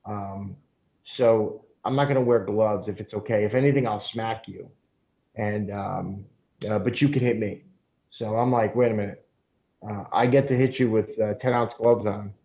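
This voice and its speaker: A man's voice: on the low side, fairly even intonation, moderate pace. A man guest on a podcast telling long casual stories, full of fillers and swearing. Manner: rambling, frequent fillers and profanity. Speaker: podcast guest, jiu-jitsu instructor. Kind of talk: casual storytelling interview. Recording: phone-like narrow band.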